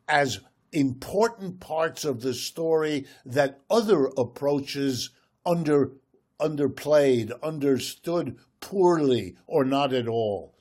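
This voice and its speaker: An older man explains economics in a radio interview. His delivery is unhurried and deliberate, with careful pauses. He sounds like a seasoned lecturer. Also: medium pitch, darkish tone. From United States, Ohio. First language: German. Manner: slow, measured, lecturing. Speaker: guest economist.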